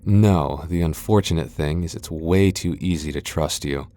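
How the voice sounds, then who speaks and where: Man acting a very deep-voiced, prickly vampire lord. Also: normal speed, warm tone. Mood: wary, resigned. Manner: haughty, defensive, stammering when flustered. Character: proud tsundere male vampire lord, flustered and prickly. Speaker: voice actor. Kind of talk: ASMR boyfriend roleplay acting.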